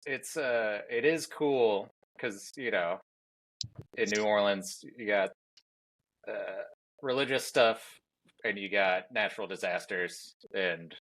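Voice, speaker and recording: Low-voiced, chatty podcast host man. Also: takes it slowly, a bit bright, poor audio. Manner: chatty, hesitant, joking. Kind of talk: casual podcast interview.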